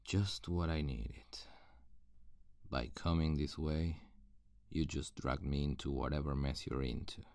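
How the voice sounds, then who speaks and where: A hardened, wary male survivor played by a man in an ASMR zombie roleplay. His voice is extremely low and gravelly, and he talks slowly with quiet menace, like an interrogator. The sound is close and dry. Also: fairly even intonation, no echo. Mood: suspicious. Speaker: audio roleplay voice actor. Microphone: close, dry studio-style microphone.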